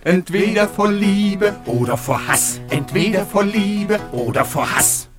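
Lady doing a dry teasing chorus, slow rhythmic lines over guitar, radio drama. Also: medium pitch, expressive, natural voice. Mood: mocking, rhythmic. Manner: measured, rhythmic declamation. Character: chorus partner, drily mocking village commentator. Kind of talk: German radio play chorus over music. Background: plucked guitar music.

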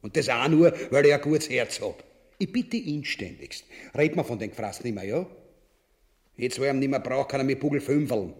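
A man acts out a coarse, scheming usurper king in a satirical radio play. His Austrian-accented voice sits at a middling pitch but swoops widely as he blusters and orders people about. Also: animated, normal speed, close to an everyday voice. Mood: scornful. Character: greedy, boorish, scheming usurper king, henpecked husband. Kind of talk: grotesque satirical radio drama acting.

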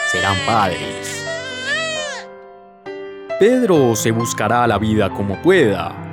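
A man playing a solemn, dramatic narrator of a radio soap, speaking in a grandiose, deliberate manner. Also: on the low side. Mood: mock solemn. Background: music with guitar.

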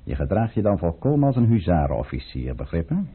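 Deep, husky, commanding count. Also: male voice, sing-song, full character voice. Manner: formal, deliberate, authoritative. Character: commanding, coolly calculating count, a conspirator against the tsar. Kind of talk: radio drama acting. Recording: muffled old radio play recording.

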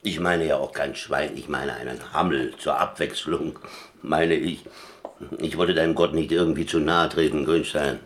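Very deep-voiced, sardonic captain. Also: male voice, fairly even intonation, normal speed. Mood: dry, teasing. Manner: blunt, laconic, dry teasing. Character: sardonic, boastful German sea-captain prisoner, blunt and chummy. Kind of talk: German radio play acting.

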